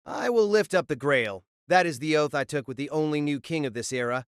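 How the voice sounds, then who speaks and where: A man's voice: fairly high pitch, a little fast, clean tone, dry recording, clean studio sound, natural voice. A man playing a resolute noble spearman knight in an audio drama, solemn and formal.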